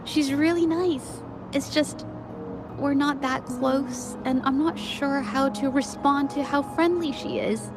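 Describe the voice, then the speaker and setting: A woman's voice: high voice, steady tone, slow, music in the background. A woman playing a shy, apologetic young woman in a video game, speaking hesitantly and politely. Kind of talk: video game character dialogue.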